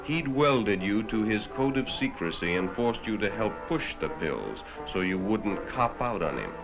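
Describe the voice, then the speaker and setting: A man's voice: fairly low pitch, sing-song, a little slow, vocal fry, highs cut off. An American man reading scripted narration in the grave, dramatic, moralizing manner of a 1960s educational film, addressing the listener directly. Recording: vintage 1960s film soundtrack. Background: prominent music.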